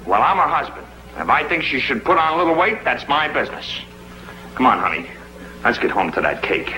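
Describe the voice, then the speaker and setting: A man's voice: mid-range voice, sing-song, a little fast, sharp tone, soft background music. An actor playing a sarcastic, teasing neighbor who fusses about his aches, in a 1950s sitcom. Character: wisecracking neighbor, sarcastic, fussy about ailments. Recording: roomy old TV soundtrack with hiss.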